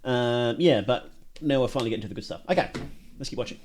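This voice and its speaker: A man's voice: medium pitch, fast, darkish tone, slight background noise. A man giving casual live-stream commentary as he reacts to videos at home, rambling and self-mocking and laughing at his own jokes.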